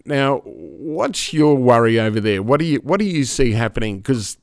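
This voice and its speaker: A man's voice: medium pitch, animated, normal speed, a little mellow, dry recording. Male interviewer, clean resonant voice, close dry mic, easygoing questions. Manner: conversational, curious questioner. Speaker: podcast host.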